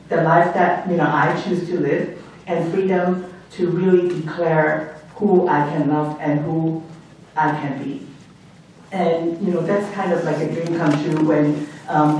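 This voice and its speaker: A woman's voice: low-pitched, a little mellow, recorded from a distance, slight background noise. Woman from Hong Kong, echoey hall. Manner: reflective, with frequent ums and restarts. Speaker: guest speaker. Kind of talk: personal story told at an event. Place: event hall.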